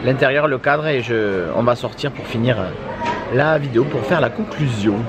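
A man's voice: medium pitch, moderate pace, over music. A man in his thirties chatting casually as a vlogger, repeating himself and tagging his phrases. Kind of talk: casual vlog chat. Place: large wooden restaurant hall, indoors. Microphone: handheld camera at arm's length. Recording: busy restaurant crowd noise.

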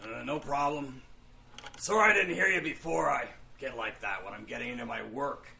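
Male actor as demon torturer, creaky, piercingly forceful tone, grumbling working stiff, fantasy radio play. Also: medium pitch, normal speed, a little hiss or hum. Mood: weary, offhand. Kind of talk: comic fantasy audio drama acting.

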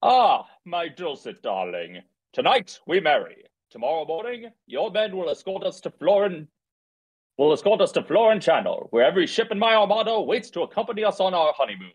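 An arrogant prince as a man plays him in a table read, formal and deliberate. The voice is fairly high for a man, very animated and a bit raspy, full of scheming menace. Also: heavily acted. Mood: smug. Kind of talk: table read acting over video call.

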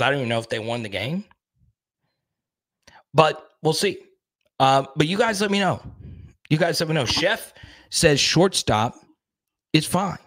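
A man in his thirties hosting a casual live stream, talking into a studio mic in a small room, animated and quick to repeat himself while reading out chat comments. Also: medium pitch. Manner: animated, repeats words, reads chat aloud. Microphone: studio mic on boom arm in front.

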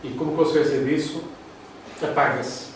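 A man's voice: a little high, fairly even intonation, moderate pace, a little hiss or hum. Older Portuguese man lecturing in echoey room. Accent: European Portuguese. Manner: talks through slides, hesitant fillers. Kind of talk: formal lecture presentation. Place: classroom with projector. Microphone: far room camera. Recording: roomy echo from far off.

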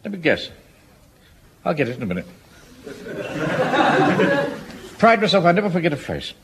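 A self-important English officer blusters through every line. His voice rises and falls in lively swings. It comes from an old radio sitcom with steady hiss behind it. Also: sits high, unhurried, exaggerated voice. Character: pompous middle-aged male Home Guard captain. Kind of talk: 1970s radio sitcom acting. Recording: old radio broadcast, audience laughter, hiss.

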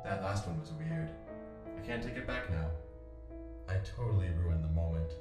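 A man's voice: deep voice, moderate pace, natural voice. Man as pompous brooding teen over music. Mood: dramatic, brooding. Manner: pompous, melodramatic. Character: brooding, arrogant, hot-tempered teenage male volleyball player. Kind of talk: melodramatic comedic fan-dub acting. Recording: music under the voice.

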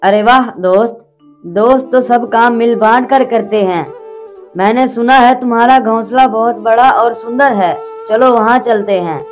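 A woman's voice: fairly high pitch, a little slow, voice pushed down, natural voice. A woman doing a sly, coaxing male crow. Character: sly greedy male crow, smooth-talking. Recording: cartoon with music behind.

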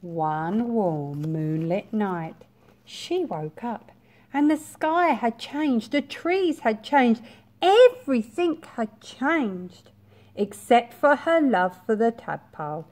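A young woman reading a picture book to small children, expressive and deliberate, with pauses. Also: on the high side. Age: young adult. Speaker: reception class teacher. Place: small bright school classroom, indoors.

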